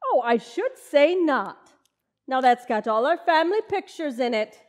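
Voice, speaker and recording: A woman's voice: high voice, sing-song, measured pace. A woman playing a long-suffering, sensible wife in an old-time radio comedy, chiding in a dry, folksy way. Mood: brisk.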